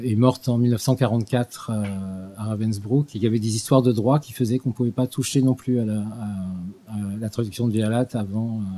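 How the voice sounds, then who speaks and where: Older guy talking at a desk mic, dark husky low voice, lots of restarts and euhs. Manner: hesitant, many restarts and fillers. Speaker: guest lecturer. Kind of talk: unscripted talk. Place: small studio with dark purple backdrop. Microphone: desk mic on stand.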